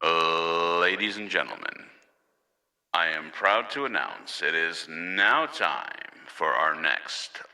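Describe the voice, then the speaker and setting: A man's voice: sits low, big pitch swings, slow pace, gravelly, pushed and bright, dry recording. A man hosting a podcast, chatting casually and making announcements with playful mock formality. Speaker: podcast host.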